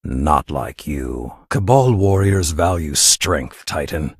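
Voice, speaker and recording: A man playing a solemn, proud warrior lord announcing matches in a video game, measured and stately. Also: a bass voice, animated, vocal fry, breathy edge, no echo, studio quality, full character voice. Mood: stirring, proud.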